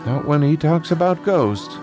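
A man's voice: fairly high pitch, expressive, a little slow, music in the background, voice pushed up. A man voicing a sensible, attentive mother in a children's story, light and matter-of-fact. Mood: amused, dismissive. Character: sensible, amused, attentive mother of a young boy.

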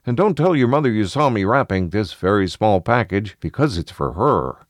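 A man playing a kind, calm father in a children's story, speaking gently and plainly to reassure his son. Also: fairly low pitch, normal speed, no room sound, clean studio sound. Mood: kindly, confiding.

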